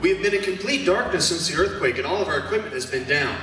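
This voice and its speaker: Male actor as probing news reporter, animated and bright, church play, heard from afar in big reverb. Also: a little high, quick pace, over loud music, lightly acted. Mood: grave, perplexed. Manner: insistent, dramatic questioning. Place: church hall stage performance. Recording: very echoey hall, distant mic.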